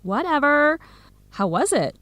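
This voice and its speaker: An actress playing a teasing, protective young American woman in a radio drama, wry and clearly enunciated. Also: high voice, big pitch swings, unhurried, a bit bright, dry recording, heavily acted. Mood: excited. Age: young adult. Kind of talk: scripted radio drama dialogue.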